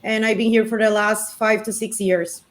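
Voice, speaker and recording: A woman from Costa Rica speaks on an online panel over a video call. She is frank and lively, and she acts out what other people say. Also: medium pitch, moderate pace. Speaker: panelist, data program lead. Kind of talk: casual panel talk.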